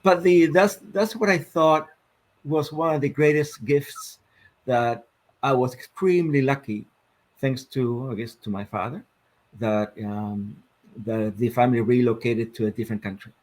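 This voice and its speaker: A man in his fifties from Argentina with an Argentine Spanish accent, a guest telling his life story in a reflective, measured way with many fillers. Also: mid-range voice, low-quality recording. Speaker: guest, sports psychologist. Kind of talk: interview storytelling.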